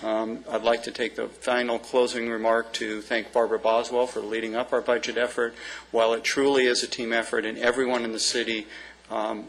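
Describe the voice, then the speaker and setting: A man's voice: mid-range voice, fairly bright tone, low-quality recording. A man serving as city manager gives earnest, plain closing remarks at a council meeting, with frequent ums and uhs.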